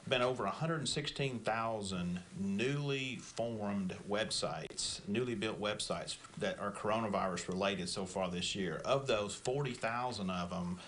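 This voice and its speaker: A man's voice: fairly low pitch, a little slow, hardly any pauses, fairly bright tone, slight background noise. A man speaking as a consumer watchdog spokesperson in a news interview soundbite.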